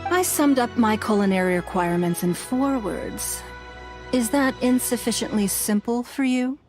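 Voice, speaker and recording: A woman voicing a refined, dignified leader in a video game, speaking in a measured, precise way. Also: a little high, over music, lightly acted. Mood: composed.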